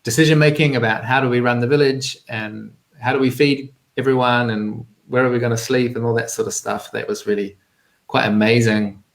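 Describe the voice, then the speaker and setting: A man's voice: medium pitch, steady tone, brisk, gravelly. A man in his thirties with a New Zealand accent, telling his own story as an interview guest in a reflective, searching way. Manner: reflective, hesitant, searching for words. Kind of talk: conversational personal storytelling.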